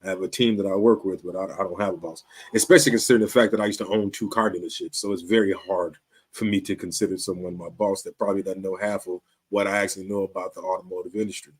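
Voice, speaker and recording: A Chicago man in his fifties talks to his viewers in a loose, conversational way. His voice sits a little low, and he circles back, repeats himself and sprinkles in street slang as he works through his point. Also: steady tone, fast talker, breathy edge. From Chicago, USA. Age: 50s. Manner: laid-back street slang, frequent "you dig?" and restarts. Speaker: show host. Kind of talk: casual talk to camera.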